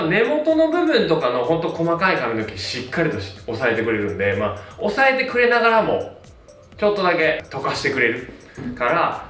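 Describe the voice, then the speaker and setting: A man in his thirties, a hairstylist, casually reviewing hair products with a relaxed, filler-heavy manner. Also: a little high, far from the mic. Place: small plain indoor studio. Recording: roomy sound, mic set back, faint music.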